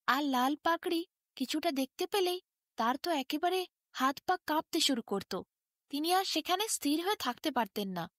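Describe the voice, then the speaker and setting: A woman tells a bedtime tale in a high voice. Her intonation is very lively and expressive, rising and falling often. The sound is dry, with hardly any room in it. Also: dry recording. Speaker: storyteller. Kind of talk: expressive Bengali bedtime story narration.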